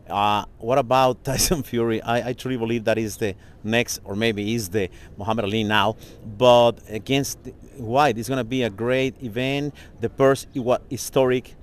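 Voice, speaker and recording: A man whose first language is Spanish interviews in English, effusive and openly emotional. He laughs often between his questions and talks in a middle-pitched voice. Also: steady tone, normal speed, clear, resonant tone.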